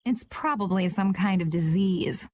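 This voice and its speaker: A woman's voice: mid-range voice, a little slow, darkish tone, dry recording, close to an everyday voice. An actress playing a cool, composed and frank woman adventurer in a video game. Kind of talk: video game cutscene acting.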